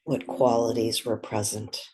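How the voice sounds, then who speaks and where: Slow, breathy meditation guide. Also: mid-range voice, level tone. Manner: gentle, unhurried, with long pauses between short phrases. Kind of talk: guided meditation, soft spoken instruction.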